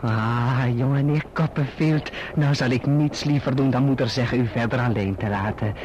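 An actor playing a falsely humble, scheming young clerk in a radio drama, wheedling and obsequious. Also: male voice, medium pitch, normal speed, darkish tone, close to an everyday voice. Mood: servile, insinuating. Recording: dull, noisy old radio recording.

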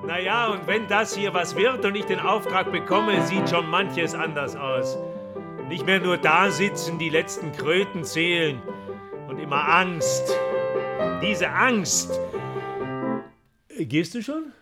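A man acting a weary, anxious writer in a radio drama, speaking in terse, halting fragments. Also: high-pitched, loud music behind the voice. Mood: anxious, strained. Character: weary, despairing male writer banned from writing, anxious.

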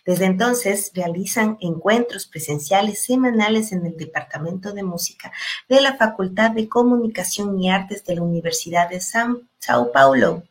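A woman's voice: mid-range voice, animated, moderate pace. Woman hosting an online meeting over video call, friendly, lots of ums, medium voice. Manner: friendly, with many fillers and small restarts. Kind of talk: moderating a virtual panel, reading introductions.

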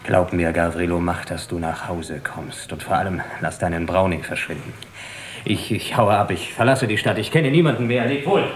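An actor playing an urgent, defeatist conspirator in a German radio drama, speaking insistently. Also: male voice, deep voice, moderate pace, close to an everyday voice. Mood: panicked. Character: panicky, defeatist male conspirator urging his friend to flee.

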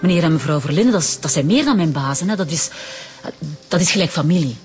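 A woman's voice: sits low, lively intonation, quick pace, a little mellow. A woman playing a chatty, flustered, loyal receptionist in a radio drama, with a Flemish accent. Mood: dismayed. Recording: music behind the voice.

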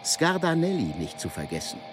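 A man's voice: on the high side, wildly swooping pitch, normal speed. Man, scripted literary narration, varied melody, music behind.

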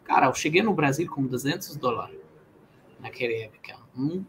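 A man's voice: medium pitch, expressive, moderate pace, fairly bright tone, a little hiss or hum. A man who learned Portuguese as a second language, talking hesitantly with many restarts during a live stream chat. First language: not Portuguese. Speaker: guest developer.

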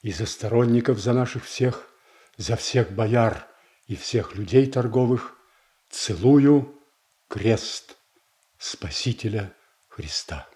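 An actor playing a proud, stern old Russian prince in a verse tragedy for audio, declaiming solemnly and with measure. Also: male voice, medium pitch, slow pace, dark tone, natural voice.

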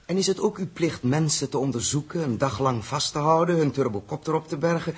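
An actor playing a suspicious, commanding space captain in a 1960s Dutch radio drama, clipped and confrontational. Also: male voice, a little high, fairly quick, slightly rough. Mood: accusing.

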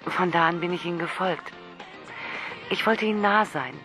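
A woman's voice: on the low side, moderate pace, over music, lightly acted. An actress playing a lonely, shy woman who calls a man on the phone, tipsy, nervous and obsessive, often whispering. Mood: confiding. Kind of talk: radio drama acting.

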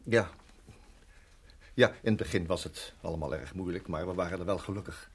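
An actor in a radio play voicing a reflective, middle-aged married farmer who reminisces in a measured, halting way. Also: male voice, sits low, husky. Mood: fond, reminiscing. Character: weary, reflective married farmer recalling his years in Rhodesia.

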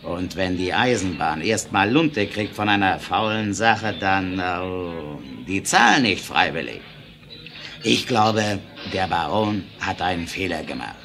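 Gossipy reformed outlaw, low and drawling, swoops around, old radio play. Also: male voice, lively intonation, unhurried, a bit bright, full character voice. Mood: confiding, gossipy. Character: gossiping reformed male bandit, ex-Confederate soldier, Wild West. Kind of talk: 1970s German audio drama acting. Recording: noisy old vinyl radio-play recording.

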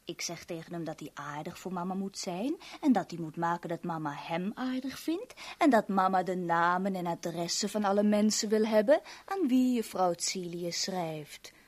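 A woman's voice: lowish voice, normal speed, hardly any pauses, lightly acted. An actress playing a brazen, flirtatious, motherly crook in a 1970s radio drama, cooing and coaxing suggestively. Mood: smug. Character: brazen, flirtatious motherly female crook calling herself mama.

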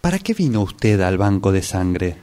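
A man's voice: low-pitched, very animated, moderate pace, dark tone. A man playing a baffled, firm clerk in an amateur radio play, speaking formally. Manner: formal, incredulous. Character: baffled, firm male blood bank clerk.

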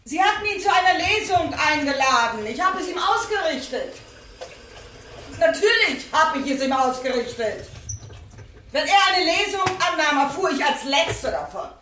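A proud, defensive widow in a radio play. Her voice sits fairly high, and she speaks with a weary, sharp-tongued, pointed edge. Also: very animated, normal speed, slight background noise, full character voice. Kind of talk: radio drama acting, dialogue.

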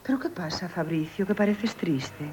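An actress playing a proud, scheming, flirtatious innkeeper in radio theatre, brisk and teasing. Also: female voice, on the low side, darkish tone, soft background music, close to an everyday voice. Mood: coquettish. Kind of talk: 1970s radio theatre acting. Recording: dull, noisy old radio broadcast.

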